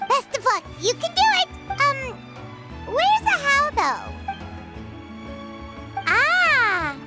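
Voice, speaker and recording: A woman voicing a tiny, excitable, cheerful fairy girl companion with a squeaky childlike voice in a video game. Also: very slow, heavily acted. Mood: cheerful, encouraging. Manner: refers to self by name, exclamatory. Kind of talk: animated video game voice acting. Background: music.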